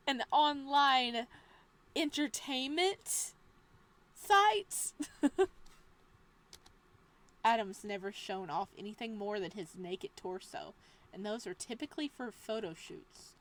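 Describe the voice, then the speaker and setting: A woman reading a visual novel aloud as a let's play host, moving between dramatic narration and casual, expressive reactions. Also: mid-range voice. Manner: expressive, exclamatory asides with laughter between measured reading.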